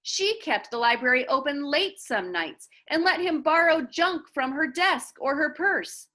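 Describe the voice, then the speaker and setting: An excitable, bookish schoolboy in a storybook, played by a woman reading aloud. The voice is high and bright, swinging with eager, gossipy enthusiasm. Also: measured pace, heavily acted. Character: eager seventh-grade boy, bookish library aide.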